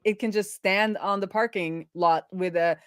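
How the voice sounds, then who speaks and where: A woman with a mid-pitched voice chats casually as a livestream co-host. She sounds enthusiastic and playful, and the sound is dry, without room echo. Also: steady tone, unhurried, smooth.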